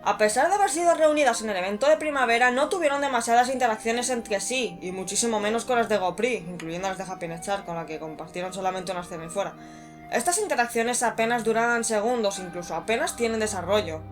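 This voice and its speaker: A woman's voice: a little high, quick pace. Woman from Spain narrating over music. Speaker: video narrator and reviewer. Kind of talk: scripted review narration.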